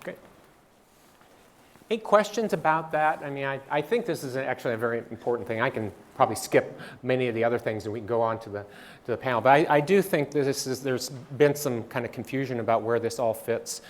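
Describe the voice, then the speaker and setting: Halting male presenter at a training session, mid-range voice, lots of ums and restarts. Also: medium pitch. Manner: halting, many fillers and restarts.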